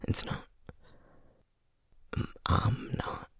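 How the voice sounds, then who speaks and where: A man playing a gentle, burnt-out Southern sorcerer and single dad in an intimate ASMR roleplay, speaking in a husky half-whisper with a Southern US accent. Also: no echo, studio quality. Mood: drained, frustrated. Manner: slow, weary, tender, hesitant. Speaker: audio roleplay voice actor.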